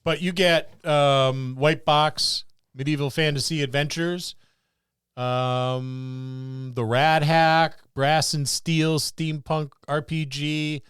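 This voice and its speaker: A man's voice: fairly high pitch, fairly even intonation, takes it slowly, a bit bright, clear, resonant tone, dry recording, clean studio sound. A man co-hosting a podcast in casual banter, with a jokey, grumbling wryness. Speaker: podcast co-host. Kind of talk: casual banter among co-hosts.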